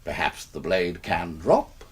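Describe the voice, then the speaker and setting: A man's voice: sits low, sing-song, slow pace, breathy edge, full character voice. An actor playing an elderly, courteous British gamesman who shows off deadly contraptions with theatrical relish in a radio drama. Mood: teasing menace. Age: older.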